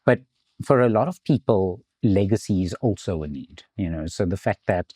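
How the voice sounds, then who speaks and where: A man from South Africa, an insurance executive, explaining finance in a measured way in an interview. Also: sits low, lively intonation, a little mellow, breathy edge, no room sound, studio quality. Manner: measured, explanatory, some restarts.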